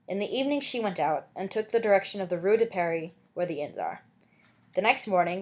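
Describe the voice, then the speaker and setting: A woman narrating a story as an amateur audiobook reader, in an expressive literary style. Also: fairly low pitch.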